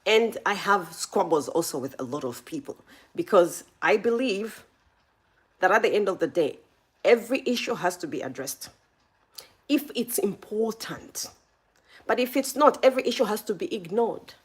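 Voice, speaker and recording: A woman's voice: mid-range voice, normal speed, slightly rough. A woman from Zambia hosting a live stream, giving motivational advice and speaking straight to her viewers. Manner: animated, speaks straight to viewers, greets chat by name.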